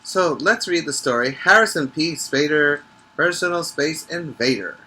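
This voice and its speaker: A man who teaches preschool, reading a picture book aloud emphatically, stretching key words and pausing to explain things to the children. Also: fairly high pitch, expressive, bright, forceful tone, husky. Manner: instructive, checking in with listeners.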